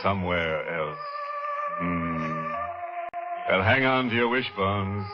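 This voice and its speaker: A man hosts an old radio horror hour in a low, unhurried voice. He gloats and draws out his lines with sly relish. Music plays beneath the dull, muffled broadcast sound. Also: animated. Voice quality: sly, gloating, drawn-out delivery with chuckles. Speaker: horror show host. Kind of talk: 1940s radio acting.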